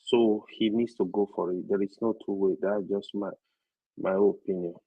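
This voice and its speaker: A man with a Nigerian accent giving his opinion as a panelist, halting and repeating his phrases. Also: on the low side, fairly even intonation, husky, phone-quality sound.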